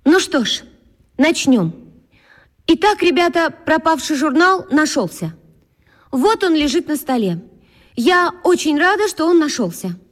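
A composed female schoolteacher for a children's radio play. Her voice is high and measured, patient and earnest. It carries a long, hall-like reverb. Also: very animated. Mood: composed, formal. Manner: measured, addressing a class. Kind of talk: children's radio drama acting.

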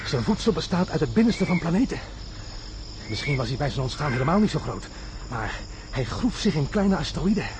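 Frantic stranded astronaut, radio drama. High-set, wildly swinging voice, obsessive raving, noisy insect-filled jungle. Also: male voice, big pitch swings, fairly quick. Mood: feverish, intent. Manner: obsessive, raving, repeats himself. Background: jungle crickets and insects.

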